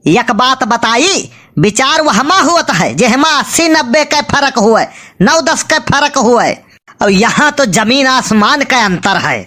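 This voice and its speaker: A woman voicing a picky, sharp-tongued cartoon villager who argues with dry retorts in a colloquial Awadhi accent. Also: a little high, animated, measured pace, heavily acted. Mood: skeptical. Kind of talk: comic cartoon dialogue in village dialect.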